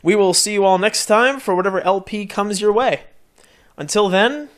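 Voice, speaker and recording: A man's voice: high voice, normal speed, a bit bright. A man hosting a let's play, joking and teasing casually as he comments over game cutscenes. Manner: bantering, teasing, exclaiming. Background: video game music.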